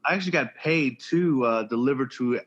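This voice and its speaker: A man's voice: mid-range voice, brisk, lo-fi sound. A man from Houston, a farmer telling his story as a podcast guest in an easygoing way. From Houston, Texas. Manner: easygoing storyteller, some fillers. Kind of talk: casual interview chat.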